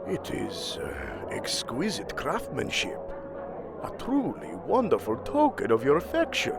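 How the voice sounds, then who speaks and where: A man's voice: mid-range voice, wildly swooping pitch, measured pace, gravelly, music in the background, exaggerated voice. A man playing a proud, commanding king of the gods in an audio drama, with a regal, formal and imperious manner. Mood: measured, gracious.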